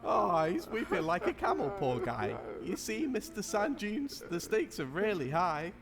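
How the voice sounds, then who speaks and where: A man's voice: sits high, big pitch swings, a little slow, a crowd in the background, heavily acted. A man voicing a dim, literal-minded sheriff in a comic puppet musical, oblivious and silly in manner. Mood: matter-of-fact.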